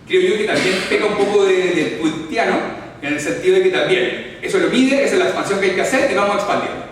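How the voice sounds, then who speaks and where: A man's voice: high voice, fast, a little hiss or hum. A man from Chile with a Chilean accent giving a live lecture, explaining step by step and often checking in with his audience. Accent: Chilean Spanish. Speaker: orthodontics lecturer. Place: lecture hall. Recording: echoey hall recorded from far off.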